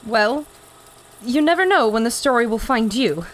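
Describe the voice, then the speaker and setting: An inquisitive lady reporter voiced by an actress narrates an airship adventure to her radio listeners. Her voice is on the high side, with faint ambient noise behind it. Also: animated, moderate pace, lightly acted. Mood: bright, curious. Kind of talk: 1930s radio adventure drama.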